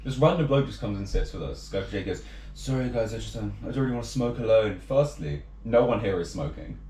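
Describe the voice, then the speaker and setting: A young man from England chats at a fast clip, telling stories full of fillers and quoted lines. He sounds set back from the mic in an echoey room. A faint hiss sits behind him. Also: on the low side, animated, dark tone. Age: young adult. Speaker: podcast co-host. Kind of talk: casual storytelling chat. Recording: roomy, distant with faint background noise.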